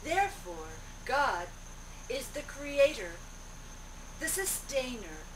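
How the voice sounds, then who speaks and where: A woman with a fairly high voice delivers a spiritual discourse at a slow pace. She speaks in deliberate, declarative short phrases. The amateur recording is noisy and picks her up from a distance. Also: very animated. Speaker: speaker relaying a guru's teaching aloud.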